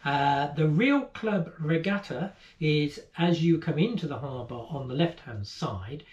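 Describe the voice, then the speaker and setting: A man's voice: a little high, animated, measured pace, a little mellow. Man, 50s, sailing host, casual explaining, echoey cabin, lo-fi sound. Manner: chatty, explanatory, trailing off at line ends. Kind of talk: casual travel guide chat. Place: boat cabin, seated indoors.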